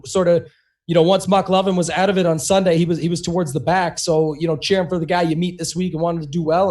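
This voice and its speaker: A man co-hosting a sports podcast, slightly losing his voice, talking in quick run-on sentences full of "you know". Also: high voice, darkish tone. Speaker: podcast co-host. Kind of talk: casual podcast chat.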